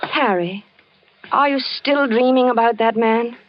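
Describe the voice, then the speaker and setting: An actress playing a worried, devoted wife who narrates in a 1940s radio drama. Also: female voice, on the high side, unhurried, dry recording, natural voice. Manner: anxious, coaxing. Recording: dull old radio broadcast with music.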